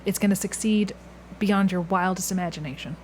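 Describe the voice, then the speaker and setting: A woman hosts a relaxed question-and-answer chat. She speaks conversationally as an interviewer. Some background noise sits under her voice. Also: mid-range voice, fairly quick, rough recording. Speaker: podcast host, interviewer.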